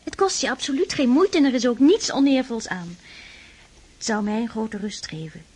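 Husky, high-voiced devout sister. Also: female voice, lively intonation, normal speed, a little mellow. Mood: pleading. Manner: earnest, tender, pleading. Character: devout, shy, self-effacing aristocratic sister, gently admonishing. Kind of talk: Dutch radio drama acting.

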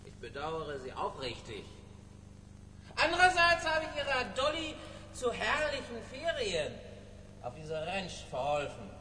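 A decadent, slippery radio-play villain, drugged and flippant. His voice is high and sharp and leaps extremely widely in theatrical mockery. It echoes in a large reverberant space. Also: huge pitch swings, unhurried, exaggerated voice. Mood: mocking, blasé. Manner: theatrical, mocking, wildly swooping. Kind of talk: radio drama dialogue. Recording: reverberant hall, faint noise.

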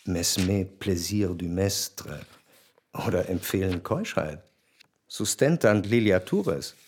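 An actor reciting old mottoes and their meanings as scripted literary narration in a radio drama. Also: male voice, sits low, animated, slow pace, a little mellow, slightly rough, exaggerated voice. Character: male reciter of Latin and French mottoes.

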